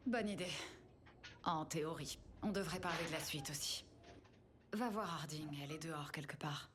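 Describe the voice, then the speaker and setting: A woman acts as an adventuring companion in a video game, with a slightly low voice. She is practical and caring, talking in a friendly, conversational way. Faint ambience runs behind her. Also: moderate pace, background noise, natural voice.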